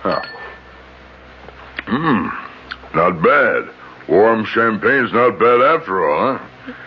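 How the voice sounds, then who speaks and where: Radio drama crude, domineering crook: deep-ish drawl, swinging widely in pitch, slangy menace, dull vintage broadcast. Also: male voice, sing-song, extremely slow, breathy edge, exaggerated voice. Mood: amused, jovial. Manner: drawling, chuckling, slangy tough-guy talk. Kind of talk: 1970s radio drama acting. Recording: muffled old radio broadcast, faint noise.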